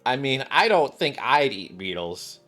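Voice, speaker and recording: A game streamer talking casually to viewers. The delivery rambles and jokes along in a mid-pitched voice. Soft music sits underneath. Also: sing-song, a little slow. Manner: rambling, joking. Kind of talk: casual live commentary and story reading.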